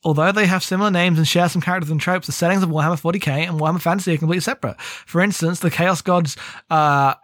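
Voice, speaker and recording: Higher-voiced man chatting in a dry room. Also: steady tone, fast talker, a bit bright, no echo. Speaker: podcast co-host. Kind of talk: casual chat about a sci-fi book.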